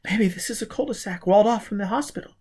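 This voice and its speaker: Male reader as desperate young female fugitive; lifted, whispery pitch, worn out and pleading, for adventure audiobook. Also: darkish tone. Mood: frightened, pleading. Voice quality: breathy, whispery, high-lifted. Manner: anxious, halting, stammering when overwrought. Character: frightened, exhausted young woman fugitive, pleading and hysterical.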